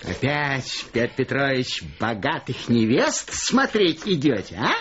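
An actor playing a fussy, over-polite, easily exasperated genteel musician in a radio play. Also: male voice, medium pitch, sing-song, takes it slowly, fairly bright tone, husky, dull audio, exaggerated voice. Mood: prim politeness. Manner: prim, over-polite, hesitant. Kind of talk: theatrical radio play acting.

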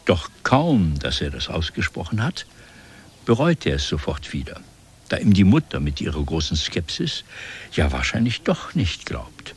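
Husky, expressive male storyteller. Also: rumbling low, moderate pace, heavily acted. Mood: wry. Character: wry, literary male storyteller narrating Casanova's excuses. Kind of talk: scripted radio play narration.

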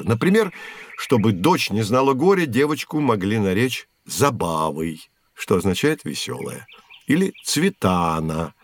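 A man's voice: lowish voice, no room sound. An actor playing a patient, knowledgeable man teaching children, explaining things like a storyteller in scripted educational narration. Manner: explanatory, storytelling, clearly phrased.

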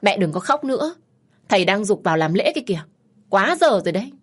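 Woman acting a brisk, husky, anxious daughter. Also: medium pitch, sing-song, fairly bright tone. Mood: worried, hurried. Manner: urging, brisk. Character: anxious, brisk daughter nagging her mother.

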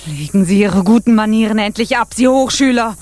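A woman's voice: medium pitch, fairly quick, a little mellow, faint music behind, close to an everyday voice. An actress playing a bitter, passionate female pirate captain in a radio drama, accusing and imperious. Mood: scornful. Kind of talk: intense radio drama acting.

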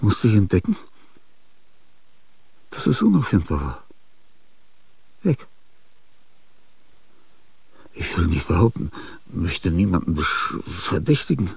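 An actor in a radio drama playing an ailing, aging male genius, restless and grandiose. He speaks confidingly in a husky, gravelly voice, with sudden outbursts and whispers. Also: low voice, sing-song, slow, pauses often, darkish tone, no room sound, full character voice. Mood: uneasy. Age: older. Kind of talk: theatrical radio drama monologue.

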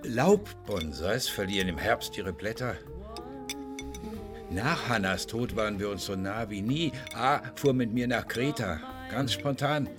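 A man's voice: fairly low pitch, expressive, a little slow, over music. An actor playing a lonely, grieving older father who tends bonsais, musing in sparse radio drama monologue. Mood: forlorn, musing.

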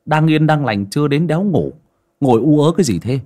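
A man's voice: on the high side, animated, fairly quick, dark tone, voice pushed up. A man voicing a blunt village neighbor who speaks coarsely and mockingly.

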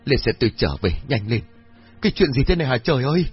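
A man's voice: medium pitch, big pitch swings, a little fast, husky, muffled recording, faint music behind, pitched above the performer's own voice. A man voicing an older village chief who speaks with anxious, urgent authority in an audio story.

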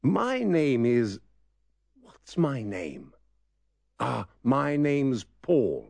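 Male actor as a melancholy doctor, gravelly, husky, wildly swinging pitch. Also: big pitch swings, extremely slow, darkish tone, no room sound, full character voice. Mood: mournful. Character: gloomy, forgetful male doctor. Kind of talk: scripted language course dialogue.

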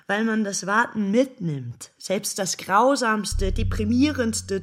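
A woman's voice: high-pitched, exaggerated voice. An actress playing a bitter, lovesick woman worn down by endless waiting, in an intense radio drama monologue. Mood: anguished. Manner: intense, brooding, building from hushed to agitated.

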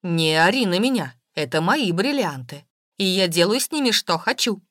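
A woman's voice: mid-range voice, lively intonation, normal speed, a bit bright, dry recording, studio quality, lightly acted. A woman voicing a histrionic, quarrelsome wealthy lady, dramatic and defensive, in an audiobook. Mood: indignant, snapping.

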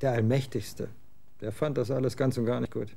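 A scornful, slangy young man of twenty in a German radio drama. His voice is gravelly and dark, and he talks in blunt street slang that quickly turns excited. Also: medium pitch. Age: 20s. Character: young man, 20, moody rocker son, scornful.

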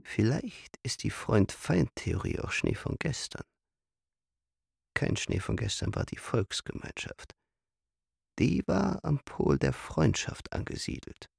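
A brooding man of the theatre in a radio play. His low, very gravelly voice swings expressively through sardonic reflections, recorded close and dry. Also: very animated, slow, darkish tone, dry recording, full character voice. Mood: brooding, pointed. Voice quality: gravelly, husky. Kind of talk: German radio drama acting.

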